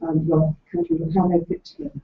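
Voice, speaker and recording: A woman in the audience with a soft voice, asking a careful, detailed question. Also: on the low side, lively intonation, fast talker, vocal fry, a little hiss or hum. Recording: echoey room, dull lo-fi sound.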